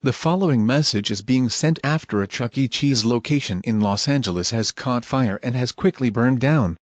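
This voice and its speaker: A man playing a grim emergency alert announcer, reading scripted warnings in a formal, measured way. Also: medium pitch, dry recording, close to an everyday voice. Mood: grave. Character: grim emergency alert announcer, dutiful, irritable when crossed. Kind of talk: scripted emergency broadcast narration.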